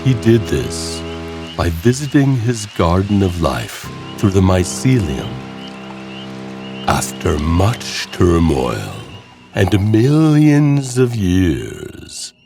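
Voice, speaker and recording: A man narrating scripted horror tales as host, in a theatrical, wry manner with drawn-out phrasing. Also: sits low, vocal fry. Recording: music under the voice.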